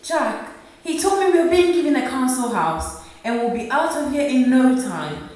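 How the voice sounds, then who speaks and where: A woman's voice: sits high, animated, moderate pace, a little mellow. A woman playing a devout, long-suffering wife and mother in a radio play, earnest and pleading, hurt but defiant. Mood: wounded, defiant. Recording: echoey room, far from mic.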